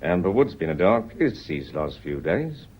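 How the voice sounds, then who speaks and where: A man playing a shrewd, courteous British police inspector in a radio drama, speaking in a measured, deferential, explanatory way. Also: low-pitched, very animated, a little mellow. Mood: explanatory, reassuring. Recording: dull, lo-fi old radio recording.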